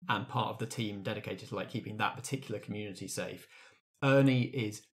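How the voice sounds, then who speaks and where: A man's voice: lowish voice, a little fast. Man guiding a tabletop game. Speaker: game master. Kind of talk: casual explanatory tabletop game talk.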